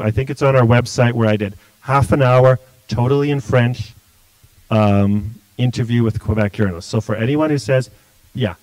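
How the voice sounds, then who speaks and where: A man's voice: medium pitch, darkish tone, no echo, poor audio. Middle-aged man, political candidate; forthright, plain-spoken, dry close recording. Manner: blunt, forthright, restarts often. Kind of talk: livestream interview answers.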